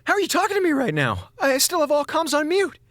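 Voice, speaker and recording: A man's voice: very high voice, wildly swooping pitch, fairly bright tone, dry recording, clean studio sound, heavily acted. An actor playing a wisecracking, defiant male comms officer who talks in a sarcastic, theatrical way. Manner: sarcastic, theatrical, quick with jokes. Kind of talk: audio drama acting.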